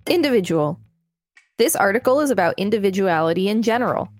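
A woman co-hosting a podcast, reading an article aloud in a measured way with light commentary. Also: medium pitch, animated, dry recording, soft background music.

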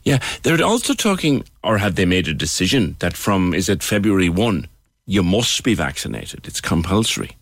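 Irish guy hosting talk radio, low voice, dry sound, chatty but probing, repeats himself for emphasis. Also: very animated, moderate pace, no room sound. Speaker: radio phone-in host. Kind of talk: current affairs talk and phone interview.

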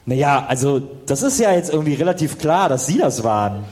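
A man's voice: a little high, big pitch swings, brisk, a little mellow. A man playing a doubtful, sensible boy detective in a comic audio drama.

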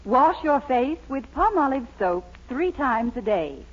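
A woman's voice: high voice, big pitch swings, unhurried, slightly rough. A woman reading a scripted 1940s radio advertisement as a commercial announcer. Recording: dull old radio recording.

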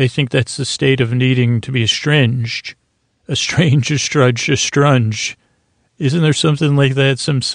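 A middle-aged man with a creaky voice hosting a bedtime podcast in a slow, lulling, meandering way, haltingly repeating words. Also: medium pitch, no echo, studio quality. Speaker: podcast host. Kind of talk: rambling bedtime monologue for sleep.

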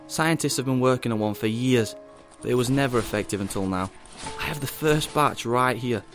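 A man's voice: medium pitch, fairly quick, soft background music, natural voice. A man playing a smug, menacing government agent in an audio drama, speaking with condescending emphasis. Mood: smug, persuasive.

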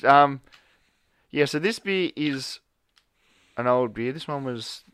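A young man hosts a relaxed podcast chat. His voice is smooth and clear, and he rambles with lots of fillers and restarts. The sound is dry and close. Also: mid-range voice, takes it slowly, dry recording. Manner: rambling, hesitant, many fillers. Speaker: podcast host. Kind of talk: casual chat.

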